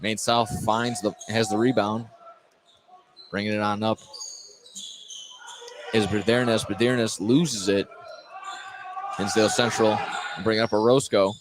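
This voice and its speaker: A man's voice: lowish voice, steady tone, measured pace, pauses often. A man doing live play-by-play commentary of a basketball game as the announcer. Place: indoor high school gym. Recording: noisy gym ambience, bouncing balls.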